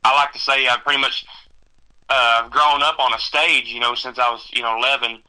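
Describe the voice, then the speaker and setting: Bright-voiced young man. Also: medium pitch, fairly even intonation, rough recording. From Texas. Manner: halting, rambling with many fillers. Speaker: country singer guest. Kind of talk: casual interview chat.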